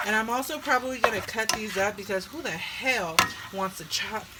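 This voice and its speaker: A woman's voice: mid-range voice, normal speed. A woman chatting through a home cooking video, joking bawdily and rambling as she goes. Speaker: cooking vlogger. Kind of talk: casual cooking chat. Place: home kitchen with food frying. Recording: sizzling pan noise behind.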